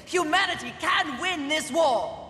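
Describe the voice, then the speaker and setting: A woman's voice: sits high, expressive, a little slow, bright, forceful tone, exaggerated voice. Game role: stern female warrior protector, formal and declamatory, echoey space. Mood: urgent, persuasive. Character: stern, resolute female horseman warrior sworn to protect humanity. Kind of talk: dramatic video game cutscene acting. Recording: echoey hall reverb, faint background noise.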